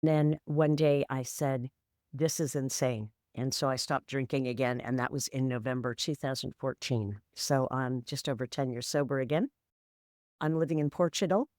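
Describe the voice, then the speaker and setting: A woman's voice: very deep voice, steady tone, moderate pace, darkish tone, no echo, studio quality. A woman, candidly telling her life story as a podcast guest in a matter-of-fact, wry way. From San Francisco, USA. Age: 60s. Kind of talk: reflective storytelling interview.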